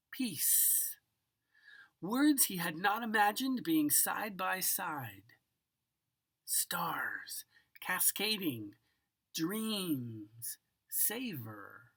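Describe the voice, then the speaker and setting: A woman giving an expressive children's picture book read-aloud, savoring words dramatically and speaking directly to the listener. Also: fairly low pitch, husky. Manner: savors single words with drawn-out, dramatic delivery and occasional whispers. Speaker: storybook reader.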